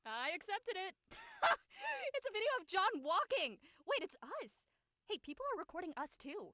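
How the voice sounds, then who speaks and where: A woman's voice: very high-pitched, big pitch swings, moderate pace, bright, forceful tone, dry recording, exaggerated voice. A woman voicing a blunt, breezy, unapologetic teenage girl in a comedy drama. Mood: teasing. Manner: breezy, matter-of-fact, teasing. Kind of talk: comedic scripted audio drama dialogue.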